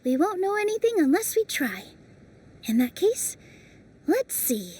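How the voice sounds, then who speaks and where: A gentle curious young dancer. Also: female voice, extremely high pitch, sing-song, unhurried, recorded from a distance, exaggerated voice. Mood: thoughtful. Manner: soft, thoughtful, playful. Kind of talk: video game voice acting. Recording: voice set back from mic, faint game music.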